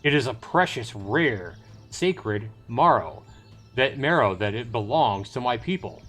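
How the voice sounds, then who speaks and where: A man's voice: medium pitch, expressive, measured pace, fairly bright tone, soft background music. Man putting on a menacing, imperious male elf. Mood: indignant. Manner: intense, imperious. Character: menacing, impatient male elf demanding his stolen sacred substance.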